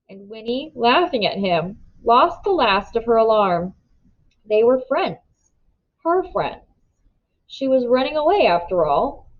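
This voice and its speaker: A woman reading a children's novel aloud in expressive storybook narration, on a slightly dull recording. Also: fairly high pitch.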